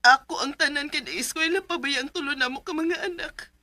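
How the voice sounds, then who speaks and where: Cebuano widow mom in a radio drama, weary n emotional, bright high voice. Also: female voice, fairly quick, dry recording. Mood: distressed. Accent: Cebuano Filipino. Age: 30s. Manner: plaintive, emotional. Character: struggling widowed mother of 37, weary but determined.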